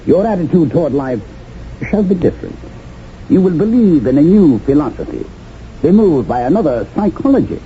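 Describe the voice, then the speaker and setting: A commanding male mastermind, insistent and oracular. His pitch leaps dramatically as he pronounces and persuades. It sounds like a thin, phone-like 1970s broadcast with faint noise. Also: big pitch swings, moderate pace. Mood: commanding, prophetic. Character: commanding, persuasive mastermind of a shadowy identity-changing company. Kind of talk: 1970s radio drama acting. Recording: tinny, band-limited old radio, faint noise.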